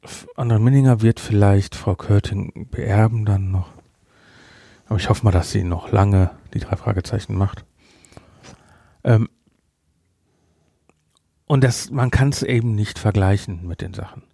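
A male podcast host chats through a review in a relaxed, dark voice with plenty of fry. He speaks bluntly and haltingly, and the sound is dry and close. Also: fairly low pitch, dry recording. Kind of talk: casual review chat.

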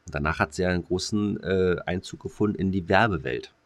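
A man's voice: low voice, fairly quick. A man co-hosting a podcast in casual comedic banter, rambling and throwing in jokey asides. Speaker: podcast co-host.

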